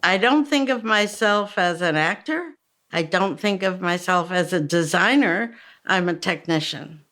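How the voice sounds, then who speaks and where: A woman's voice: fairly low pitch, expressive, a little slow, fairly bright tone. A woman in her eighties, a stage technician, describing herself in a dry, matter-of-fact way. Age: 80s. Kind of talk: documentary interview.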